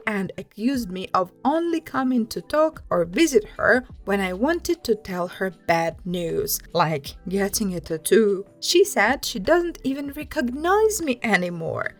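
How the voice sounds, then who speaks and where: A woman narrates a scripted story in a fairly high voice. Her intonation is very animated, rising and dropping often. Light music plays behind her reading. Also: unhurried, hardly any pauses. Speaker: host reading online stories aloud.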